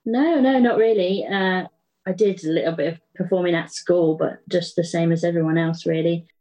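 Mellow, lowish British woman. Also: normal speed, low-quality recording. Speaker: podcast creator being interviewed. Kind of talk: casual interview chat.

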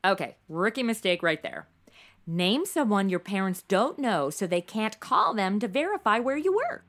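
A woman's voice: medium pitch, very animated, moderate pace, fairly bright tone. A woman playing a sassy, sarcastic young woman. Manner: sarcastic, mocking. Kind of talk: comedy audio drama acting.

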